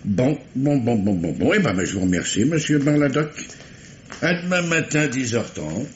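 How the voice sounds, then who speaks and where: An actor playing a shrewd, patient police commissioner in 1950s French radio mystery style, hesitant and politely probing, muttering little hums. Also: male voice, mid-range voice, sing-song, normal speed, close to an everyday voice. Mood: courteous, doubtful. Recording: dull, noisy vintage radio recording.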